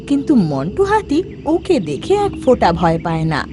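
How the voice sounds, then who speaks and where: A woman's voice: medium pitch, wildly swooping pitch, moderate pace. A woman narrating a scripted cartoon story as its storyteller. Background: soft background music.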